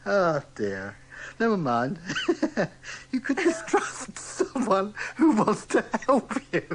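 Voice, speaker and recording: A woman's voice: mid-range voice, wildly swooping pitch, slow, a little hiss or hum. A woman giving a chatty, sympathetic radio horoscope in a giggly way. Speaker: radio astrologer reading horoscopes.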